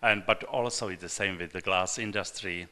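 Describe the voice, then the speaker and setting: An older man from the former Czechoslovakia with a Central European accent, answering haltingly in non-native English as he searches for words. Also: deep voice, bright, forceful tone. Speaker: glass artist and teacher. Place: indoor glass studio and gallery. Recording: echoey, noisy glass workshop.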